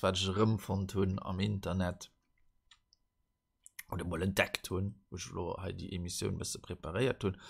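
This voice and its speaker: A man with a Luxembourgish accent and a gravelly voice, hosting a casual retro tech show and reading out old articles with many fillers and restarts. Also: sits low. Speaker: host.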